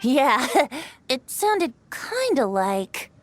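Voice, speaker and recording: A whimsical boyish bard in a fantasy video game. The voice is high and very lively in pitch, with a teasing storyteller's delivery over soft music. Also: female voice, very slow, exaggerated voice. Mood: amused, storytelling. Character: playful, whimsical boyish young male bard. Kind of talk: video game character voice acting.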